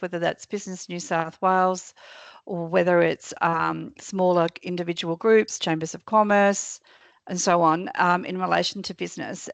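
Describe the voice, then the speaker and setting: A woman from a local council answering webinar questions over a video call, with a croaky voice and a hesitant way of speaking. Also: on the low side, no room sound. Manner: hesitant, many fillers and restarts. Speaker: council staff presenter.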